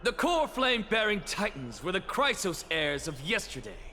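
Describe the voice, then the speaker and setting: An actor playing an arrogant heretic professor in a video game, declaiming with theatrical scorn. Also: male voice, high-pitched, very animated, moderate pace, bright, forceful tone, a little hiss or hum, heavily acted. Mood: grand, proclaiming. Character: arrogant male scholar, theatrical heretic professor lecturing an assembly. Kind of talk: dramatic video game voice acting.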